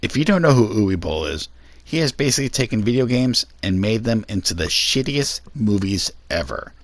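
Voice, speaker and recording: A man's voice: lowish voice, very animated, normal speed, no room sound. A man hosting a scripted review video, giving opinionated, sweary and sarcastic commentary.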